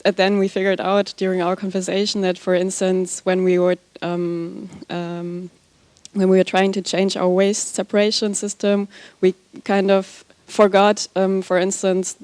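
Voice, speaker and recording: A woman reporting back in a workshop discussion, halting and hesitant with many fillers. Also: medium pitch, completely flat delivery, darkish tone, smooth, no room sound. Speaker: workshop participant.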